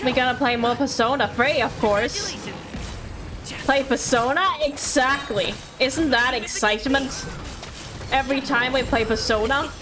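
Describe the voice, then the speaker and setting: A woman live-streaming a game, chatting casually and playfully in a rambling way to viewers and herself. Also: high voice. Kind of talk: casual livestream chat while playing a game. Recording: background music behind voice. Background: game music.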